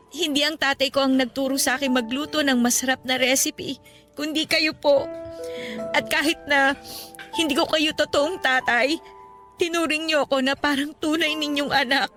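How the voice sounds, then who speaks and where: A woman's voice: sits high, fairly bright tone. A woman playing an affectionate, respectful young adult daughter in a radio drama, with a Filipino accent. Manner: respectful, earnest, heartfelt. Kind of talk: radio drama acting in Tagalog. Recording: music bed behind the voice.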